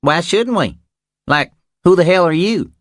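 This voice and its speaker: A man voicing a cocky, excitable young Texas ranch hand in an audiobook, taunting in folksy slang. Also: sits high, unhurried, dry recording, studio quality, voice pushed up. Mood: defiant.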